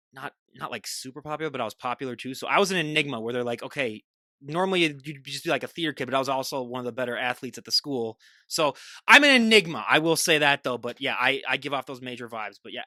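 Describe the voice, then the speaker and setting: A man's voice: mid-range voice, sharp tone, no echo, studio quality. A young man from the Midwest hosting a podcast, chatting casually in a sarcastic, joking way. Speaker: podcast host. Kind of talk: casual chat.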